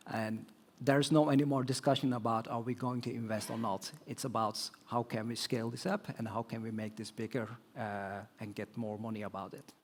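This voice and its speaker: Halting man answering on a conference panel. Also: medium pitch, level tone, slight background noise. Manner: halting, many fillers and restarts, non-native phrasing. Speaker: telecom executive guest on panel. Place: conference stage.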